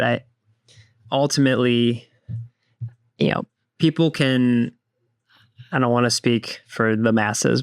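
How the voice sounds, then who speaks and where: Young man, podcast host, middle-pitched voice, dry close mic, chatty, laughs often. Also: vocal fry, clean tone, no echo. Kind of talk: casual podcast chat.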